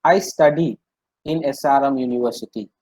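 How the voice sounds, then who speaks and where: A man's voice: medium pitch, moderate pace, a little mellow, dry recording. A man with an Indian accent leads an interactive language lesson. He repeats phrases, translates them line by line and often asks brief questions to check understanding. The sound is very dry, with no room around the voice. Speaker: French language teacher.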